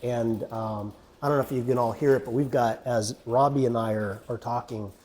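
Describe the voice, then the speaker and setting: A man's voice: medium pitch, fairly even intonation, moderate pace, darkish tone. A man hosting a casual faith interview, chatty and affirming, with plenty of fillers. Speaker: podcast host.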